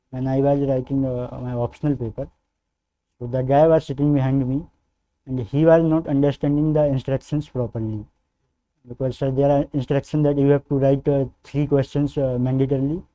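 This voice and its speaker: A young man from northern India, a native Hindi speaker with a North Indian accent, answering formal interview questions as a candidate in a halting, deferential way. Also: medium pitch, dark tone, muffled recording. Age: young adult. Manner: hesitant, halting, deferential, often says sir. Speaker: civil service candidate in mock interview.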